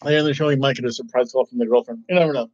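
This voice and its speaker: A man hosting a casual wrestling review, rambling and excitable, often restarting his sentences. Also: medium pitch, racing. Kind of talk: casual chat. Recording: dull lo-fi recording.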